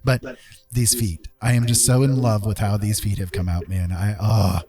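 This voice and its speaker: A man's voice: on the low side, fairly even intonation, normal speed, warm tone, slightly rough, dry recording. A man hosting a live art stream in a casual, jokey, teasing way. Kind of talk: casual livestream chat. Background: music.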